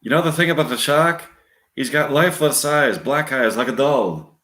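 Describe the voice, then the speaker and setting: A man playing a grizzled sailor in a film monologue, attempting an Irish accent in grave, halting storytelling. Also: mid-range voice, expressive, fairly bright tone, close to an everyday voice. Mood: grim, haunted. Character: grizzled Irish sailor recounting a shark horror. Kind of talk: movie monologue reading. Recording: roomy, echoing space.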